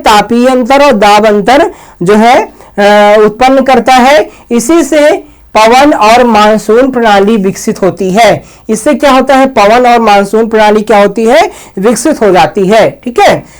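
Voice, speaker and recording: A man's voice: extremely high pitch, a little slow. A man lecturing to students, explaining point by point, asking rhetorical questions and answering them himself, often repeating each point. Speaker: teacher giving an agriculture science lecture.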